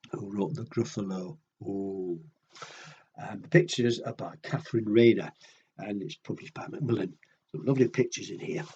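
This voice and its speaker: A man's voice: fairly low pitch, mellow, breathy edge, muffled recording. A man reading a picture book to children expressively, with chatty conversational asides to his young listeners. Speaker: children's storyteller.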